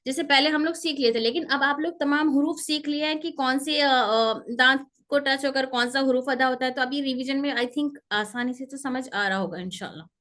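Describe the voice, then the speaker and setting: A woman teaching a pronunciation class over a video call, explaining step by step and repeating terms and letters slowly. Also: high voice, fairly bright tone. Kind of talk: online class lecture. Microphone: computer mic on a video call.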